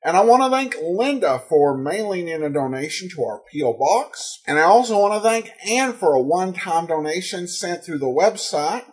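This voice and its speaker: A man with a fairly high voice hosts a podcast. He sounds informal and plainspoken as he runs through introductions and housekeeping. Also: animated, normal speed, few pauses. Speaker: podcast host.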